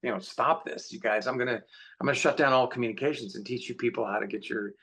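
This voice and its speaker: A man talks as a guest author on a conversational panel. His voice sits at a middle pitch, and he sets out his opinions earnestly in a casual, explanatory way. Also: quick pace, a bit bright.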